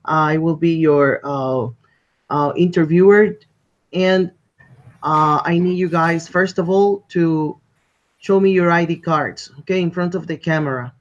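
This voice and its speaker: Casting: man, fairly high voice, polite exam interviewer on a muffled video call. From Colombia. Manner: polite, measured, repeats instructions. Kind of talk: structured spoken exam interview. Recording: dull lo-fi call audio.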